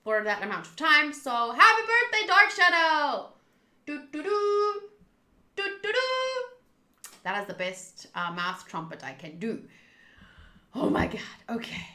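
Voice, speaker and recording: Bright, animated young woman streaming. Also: sits high, a little slow, reverberant. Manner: chatty and animated, jumping between loud outbursts and mumbled asides. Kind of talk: casual live game playthrough chat.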